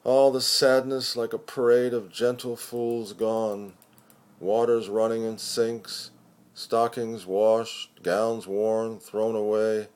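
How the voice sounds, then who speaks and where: Slow, deliberate man reading poetry. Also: lowish voice, little pitch movement, darkish tone, clear, resonant tone. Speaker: poetry reader. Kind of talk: expressive poetry recitation.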